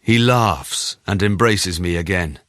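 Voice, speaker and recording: A man with a low-pitched voice reads literary first-person fiction. His storytelling is measured and expressive, and the sound is dry, with very little room on it. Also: no echo. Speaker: audiobook narrator.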